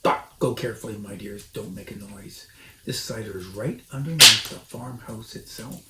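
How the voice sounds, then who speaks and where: A man's voice: on the low side, moderate pace, close to an everyday voice. A man voicing a polite, self-assured grown male fox who leads his family in a storybook. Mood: guiding. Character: suave, self-assured adult male fox, fatherly leader. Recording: roomy echo, faint noise.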